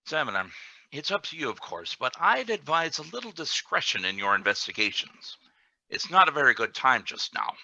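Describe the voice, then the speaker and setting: An actor playing an officious, guardedly polite colony official in a radio play reading. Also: male voice, mid-range voice, big pitch swings, brisk, creaky, bright, forceful tone, dull audio, heavily acted. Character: smooth, officious, discreetly cautioning male colony official.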